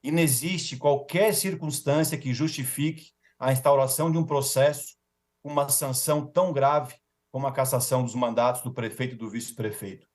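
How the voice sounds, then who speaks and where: A man's voice: mid-range voice, steady tone, a little fast, a little mellow. A Brazilian man speaking as a defense lawyer in a formal, rhetorical speech with emphatic repetition and ceremonious forms of address.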